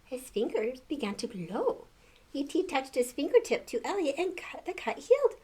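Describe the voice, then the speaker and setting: A woman's voice: high voice, very animated, normal speed, warm tone. An older woman reading a storybook aloud to children, expressively, with exclamations and questions to the listener.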